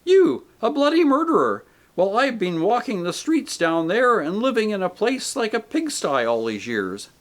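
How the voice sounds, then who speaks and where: A man's voice: sits high, sing-song, moderate pace, hardly any pauses, pitched above the performer's own voice. A man voicing a coarse, self-righteous male ghost who talks in blunt, indignant working-man speech.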